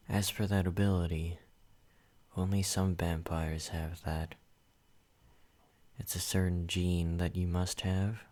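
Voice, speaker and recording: Man doing a deep, slow obsessive vampire. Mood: possessive. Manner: soft, intimate, slow and controlling, slipping into whispers. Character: possessive male yandere vampire, obsessive and menacing. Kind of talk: ASMR roleplay.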